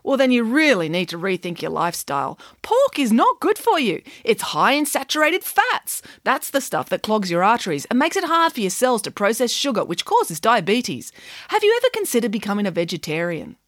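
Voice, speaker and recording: A woman reading a storybook voices a bold young piglet girl in a high, bossy, lecturing voice. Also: wildly swooping pitch, a little fast, no room sound, clean studio sound.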